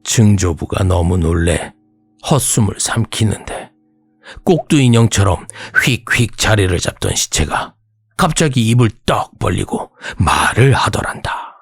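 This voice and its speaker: A man narrating a scripted horror tale in a slow, suspenseful way, sometimes dropping to a hushed breath. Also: fairly low pitch, very animated, slightly rough, no echo, clean studio sound. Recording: music bed behind voice. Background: background music.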